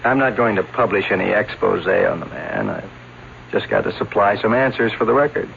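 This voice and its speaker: An actor playing a patient, persistent police lieutenant in a 1970s radio drama, politely probing in a measured, hesitant way. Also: male voice, on the low side, vocal fry. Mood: patient, insistent. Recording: muffled, faintly noisy old radio broadcast.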